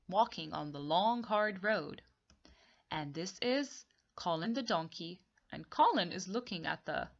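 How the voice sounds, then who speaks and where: Slow, expressive woman reading to children. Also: medium pitch, gravelly, dull audio. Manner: slow, deliberate explaining with repetition. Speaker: teacher reading aloud. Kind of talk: read-along story lesson for young children.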